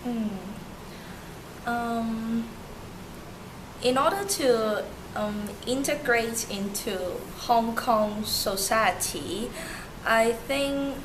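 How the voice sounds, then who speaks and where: Slow, halting young woman from Turkey. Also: fairly high pitch. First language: Turkish. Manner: halting, many fillers and restarts. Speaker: interviewee. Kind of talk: hesitant non-native English interview answers. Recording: noisy amateur recording.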